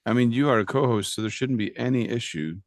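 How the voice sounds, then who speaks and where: A man's voice: medium pitch, fast talker, dry recording, clean studio sound. A man chatting and explaining casually on a video call, in a hesitant, stop-and-start way. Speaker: co-host.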